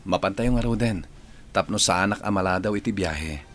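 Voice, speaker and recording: Man acting a low-voiced dutiful grown son. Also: a little fast, close to an everyday voice. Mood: respectful. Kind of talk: Ilocano radio drama acting. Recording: radio drama over clear music.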